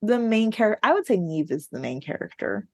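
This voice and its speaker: A woman's voice: mid-range voice, very animated, normal speed, darkish tone. Candid, opinionated woman in casual conversation. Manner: candid, opinionated, laughs while talking. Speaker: podcast co-host. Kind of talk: casual book discussion.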